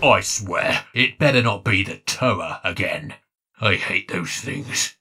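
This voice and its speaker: A man's voice: sits low, expressive, takes it slowly, over music. A man voicing a grumpy, exasperated alien robot fighter in a cartoon comic dub. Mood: irritated. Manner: irritated, exasperated. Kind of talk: animated comic dub acting.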